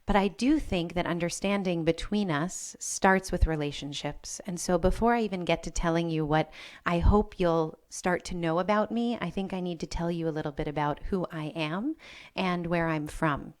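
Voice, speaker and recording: A woman's voice: lowish voice, normal speed. A woman from the United States with an American accent tinged with Israeli sounds, a rabbi speaking personally and thoughtfully on a panel to a live audience.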